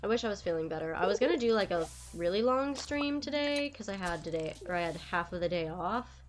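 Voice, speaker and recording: A woman's voice: medium pitch, fairly quick, faint music behind. A young woman streaming a game, chatting casually with viewers while stuffed up and nasal from a cold. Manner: chatty, rambling, lively. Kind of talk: casual live-stream chat while gaming.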